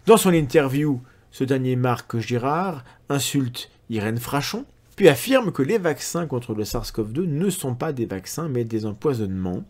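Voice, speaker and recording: A man's voice: medium pitch, sing-song, a little slow, few pauses, a little mellow. A man in his forties, a science skeptic host, reading a critical analysis to camera with sardonic, ironic asides. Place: indoor room at a desk.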